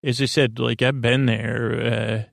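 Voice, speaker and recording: A man with a creaky, gravelly voice hosts a rambling bedtime podcast. He speaks directly to the listener in halting, looping sentences that often backtrack. The sound is close and very dry. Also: medium pitch, little pitch movement, no echo. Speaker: podcast host.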